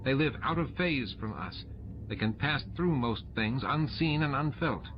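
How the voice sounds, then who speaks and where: An earnest, frustrated woman officer in a science fiction audiobook, acted out by a man reader. The delivery is urgent and snappy. The recording is dull and lo-fi, with clear background noise. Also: medium pitch, animated, unhurried, voice pushed up, close to an everyday voice.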